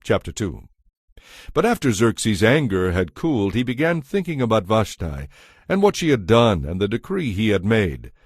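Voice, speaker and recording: A man's voice: lowish voice, sing-song, normal speed, breathy edge, dry recording, studio quality. A man narrating a dramatized audio Bible in a scripted, storytelling style. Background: music.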